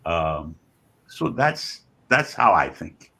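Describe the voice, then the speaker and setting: An older man in his seventies or beyond with a Liberian accent, speaking reflectively as an interview guest in measured, deliberate phrases with pauses and repetitions. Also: deep voice, big pitch swings, vocal fry, sharp tone, breathy edge, soft background music. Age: 70s or older. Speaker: guest author and scholar.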